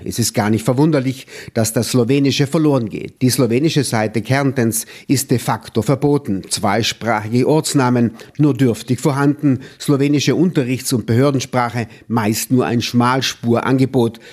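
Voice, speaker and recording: A man reading scripted documentary narration in a measured, solemn way. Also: medium pitch, darkish tone.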